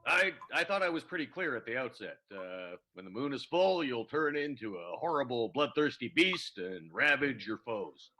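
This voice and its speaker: A man's voice: medium pitch, big pitch swings, normal speed, few pauses, bright, forceful tone. A man playing a nonchalant, matter-of-fact werewolf mentor in an improvised radio play, casual in manner. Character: breezy, matter-of-fact male werewolf mentor in his lair.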